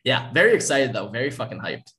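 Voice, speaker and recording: A man's voice: medium pitch, very animated, fast talker, sharp tone. Casual, sweary man hosting a podcast. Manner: sweary, slangy fan talk. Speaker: podcast host. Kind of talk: casual chat.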